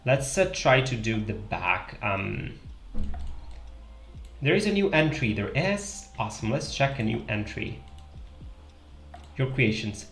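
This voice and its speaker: Italian man, young adult, live-stream host; rambling chat, roomy echo, soft music beneath. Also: mid-range voice, animated, slow pace, vocal fry, pauses often. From Italy. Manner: chatty, thinks aloud, trailing fillers. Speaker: livestream host. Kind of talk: casual live-stream chat while drawing. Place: small room indoors. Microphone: headset. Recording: echoey room with faint music.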